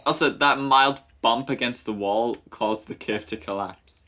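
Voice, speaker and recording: A man's voice: mid-range voice, measured pace, pushed and bright. A man giving casual, joking commentary on a film in a mock-pedantic, analytical way. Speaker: fan dub commentator.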